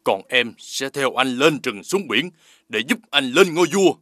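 An actor playing a sly, sneering sidekick prince in an animated fairy tale, with a dismissive manner. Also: male voice, medium pitch, a little slow, dry recording. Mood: fawning. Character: sneering prince, fawning sidekick to his scheming brother.

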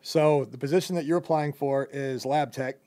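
A man's voice: medium pitch, steady tone, moderate pace, dark tone. A young man who owns a company, casually interviewing a job applicant in a loose, rambling way with lots of fillers.

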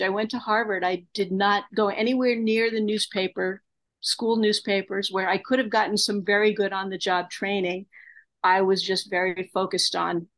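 Older woman, interview talk, medium pitch, video call mic. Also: a bit bright. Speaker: journalist interviewee. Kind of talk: interview talk about career. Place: home office, video call. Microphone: computer on video call.

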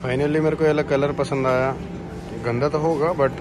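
A man's voice: on the high side, level tone, normal speed, over loud music. A young man chatting casually to a phone held at arm's length, as a vlogger does. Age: young adult. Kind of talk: casual vlog chat. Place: out on the road and inside large shops. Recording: loud street and store ambience.

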